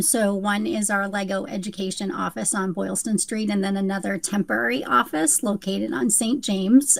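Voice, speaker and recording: A woman's voice: medium pitch, vocal fry, no room sound, low-quality recording. A woman from Massachusetts, a company executive, speaking in a composed, businesslike way on a panel. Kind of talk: casual panel talk.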